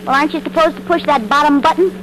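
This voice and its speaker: An actress playing a good-natured, wryly teasing wife in a vintage radio comedy, with dry delivery. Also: female voice, sits high, racing, natural voice. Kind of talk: 1940s-50s radio sitcom acting. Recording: noisy old radio broadcast recording.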